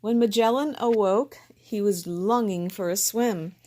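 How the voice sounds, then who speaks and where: A woman reading a children's picture book aloud in a gentle, expressive way. She narrates slowly and gives each character a voice of its own. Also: mid-range voice. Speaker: storybook reader.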